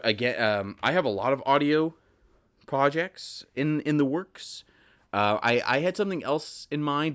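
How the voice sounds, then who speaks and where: Low-voiced man rambling on a podcast. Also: a bit bright, smooth. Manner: rambling, self-interrupting, candid. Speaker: podcast host, audio drama writer. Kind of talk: casual solo podcast monologue.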